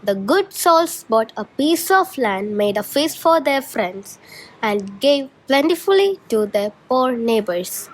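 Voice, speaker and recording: A female student storyteller with a fairly high voice. She reads a scripted tale as the narrator of a school production. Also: very animated, slow pace, low-quality recording.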